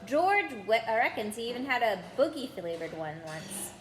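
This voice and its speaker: A woman reading aloud, voicing a blunt young boy wizard in a slightly lowered voice. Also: big pitch swings, moderate pace, reverberant, a little hiss or hum, low-quality recording.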